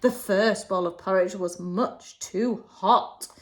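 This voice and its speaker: A woman teacher reading a storybook expressively to young children, with dramatic emphasis and pauses. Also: mid-range voice. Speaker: infant school teacher.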